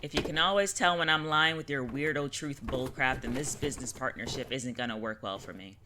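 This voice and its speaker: A woman playing a gruff, sardonic witch in an audio drama, wry, slangy and easily exasperated. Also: extremely low pitch, a little fast, noisy background. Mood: dismissive.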